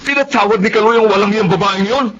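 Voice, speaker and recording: Indignant, hot-headed Filipino brother; high voice, radio serial, dull slightly noisy audio. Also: male voice, fast talker, fairly bright tone. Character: indignant, hot-headed adult sibling, protective and suspicious. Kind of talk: Filipino radio drama acting. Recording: dull audio with faint noise.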